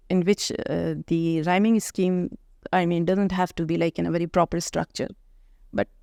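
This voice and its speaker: A middle-aged woman from India with an Indian accent and Hindi as her first language, talking as a poet and author guest in a thoughtful interview, pausing often to find her words. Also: medium pitch, vocal fry, a little mellow, dry recording, clean studio sound. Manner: thoughtful, searching for words with frequent pauses. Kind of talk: reflective conversational interview.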